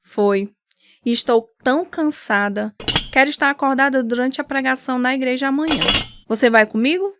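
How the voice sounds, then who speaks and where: A woman's voice: high voice, normal speed, heavy background noise, natural voice. An actress playing a devout, weary, caring mother in a radio drama.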